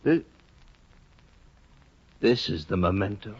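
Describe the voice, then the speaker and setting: A man's voice: fairly low pitch, expressive, slow pace, a little mellow, slightly rough, exaggerated voice. An actor in a 1950s radio play, voicing a despairing, ruined male scholar who broods bitterly and breaks into anguished outbursts. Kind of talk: 1950s radio drama acting. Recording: dull 1950 radio broadcast.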